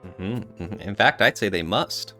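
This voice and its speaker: A man's voice: fairly low pitch, a little slow, pushed and bright, faint music behind. A man co-hosting a podcast, talking casually in an earnest, reflective way with some hedging. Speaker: podcast co-host.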